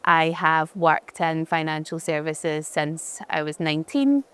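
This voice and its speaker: A woman from Glasgow with a strong Glaswegian accent, her voice low and smooth. She talks in a chatty, easygoing way, often restarting her sentences, as a guest in a conference fireside chat. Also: fairly even intonation, a little slow. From Glasgow, Scotland. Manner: chatty, frequent restarts and fillers. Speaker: head of digital experience, conference guest.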